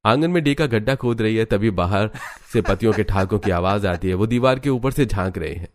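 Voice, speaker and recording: A man's voice: lowish voice, a little fast. A man reading scripted narration for a cartoon story in the present tense.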